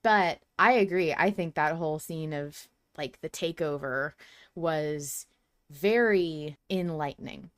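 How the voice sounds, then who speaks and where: A woman's voice: low voice, slow pace. A woman hosting a casual fan podcast, effusive and informal in the way she talks. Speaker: podcast host.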